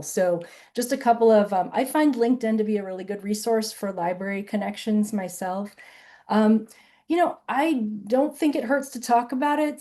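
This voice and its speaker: A woman in her fifties gives a relaxed, conversational answer in an online talk. Her voice sits in the middle range with a mellow, darker tone. She talks in an animated way and keeps tossing in "right?" as she goes. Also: medium pitch. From Southern United States and Illinois. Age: 50s. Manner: chatty, animated, tags points with "right?". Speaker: author and webinar presenter.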